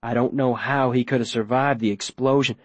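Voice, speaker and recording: A man's voice: medium pitch, steady tone, normal speed, dry recording, natural voice. A man voicing a defiant, angry young female captive in an audiobook, with a curt manner.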